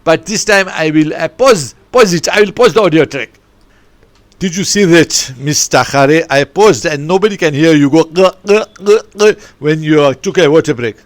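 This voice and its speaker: A man voicing a stern, teasing male podcast moderator who talks loudly, scolds, and tags his lines with "eh?". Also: on the high side, moderate pace, no echo, natural voice. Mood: scolding. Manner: loud and scolding, ends lines with "eh?".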